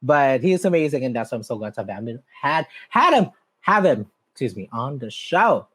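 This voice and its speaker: A man's voice: sing-song, brisk, a bit bright, slightly rough. A man hosting a podcast interview in a bubbly, chatty way, laughing often and correcting himself as he goes. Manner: bubbly, laughs often, swings up high in pitch. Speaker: podcast host. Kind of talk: casual interview chat.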